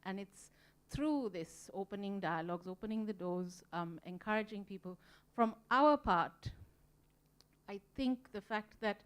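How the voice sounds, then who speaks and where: A woman from Sri Lanka speaks on a conference panel in a smooth, clear voice at a middle pitch. She is earnest and reflective, and she fills her pauses with ums as she works through each point. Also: expressive. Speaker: museum volunteer panelist. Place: conference room.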